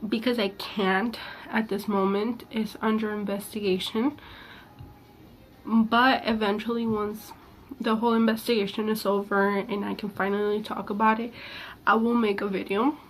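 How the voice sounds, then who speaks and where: A young woman vlogging about her personal past, earnest and halting, with a slightly sick-sounding voice. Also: mid-range voice, slight background noise, low-quality recording. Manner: hesitant, halting, many fillers and restarts; candid and earnest. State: sick, voice a little off. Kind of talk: personal confessional vlog.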